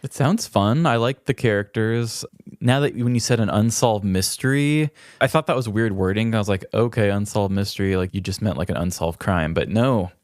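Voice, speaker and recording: A man's voice: lowish voice, moderate pace, darkish tone, no echo. Laid-back American man, dry close sound. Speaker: podcast co-host. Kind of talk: casual chat.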